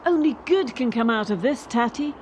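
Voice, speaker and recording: An actress plays a resolute young woman athlete in a radio play. The voice is high and animated, casual and frank, over faint background noise. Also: normal speed, close to an everyday voice. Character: defiant young female gymnast quitting the sport.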